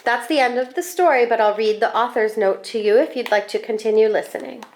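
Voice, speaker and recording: High-voiced woman reading a storybook aloud. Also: fairly quick. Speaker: school staff member reading a picture book aloud. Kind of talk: expressive children's storybook read-aloud.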